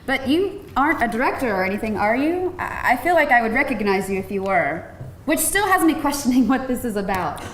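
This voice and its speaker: A woman's voice: fairly high pitch, big pitch swings, fairly quick, fairly bright tone. A woman playing a skeptical, wry young actress in a live screenplay table read. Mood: curious, wary. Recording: roomy live venue, faint noise.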